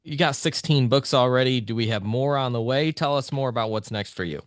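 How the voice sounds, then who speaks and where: A man's voice: mid-range voice, fairly quick, no room sound, clean studio sound. A man hosting a casual interview, asking questions in an easygoing way. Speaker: podcast host.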